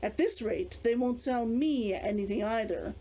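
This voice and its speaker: A woman reading aloud a storybook man who is measured, matter-of-fact and teasing. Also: a little high, expressive, dark tone. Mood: dry, insistent.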